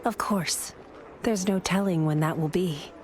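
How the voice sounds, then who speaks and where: A woman's voice: on the low side, a little slow, a little hiss or hum, lightly acted. A woman playing a thoughtful, idealistic adviser and companion to a hero in a video game, speaking in a reflective, composed way. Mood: thoughtful, wry. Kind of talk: dramatic game narration and dialogue.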